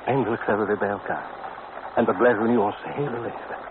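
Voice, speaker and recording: A man's voice: fairly low pitch, fairly even intonation, quick pace, lightly acted. An actor in a radio drama playing an ardent, devoted young man in love, speaking romantically. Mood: joyful, romantic. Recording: tinny, noisy old radio recording.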